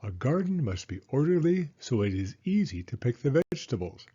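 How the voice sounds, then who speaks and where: A man voicing a kindly old woman, a gentle, orderly storybook gardener who teaches. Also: mid-range voice, big pitch swings, a little fast, slightly rough, lo-fi sound. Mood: gentle, instructive.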